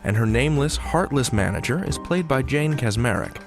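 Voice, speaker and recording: A man's voice: mid-range voice, lively intonation, brisk, creaky. A man giving a scripted, theatrical podcast introduction as host, with a dramatic, wry storytelling manner. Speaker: host and announcer. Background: music.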